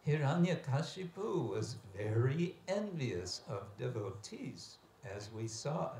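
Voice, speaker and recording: A man giving a scriptural lecture as a religious teacher, reading aloud and commenting with deliberate, emphatic phrasing and pauses. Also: mid-range voice, very animated, creaky, darkish tone. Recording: echoey room with background hiss and ambience.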